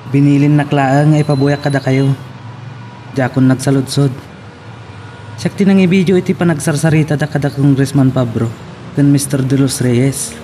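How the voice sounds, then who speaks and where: An actor as a mellow, deferential henchman. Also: medium pitch, normal speed, pauses often, slight background noise, lightly acted. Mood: dutiful. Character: deferential, matter-of-fact male henchman. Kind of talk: radio drama acting.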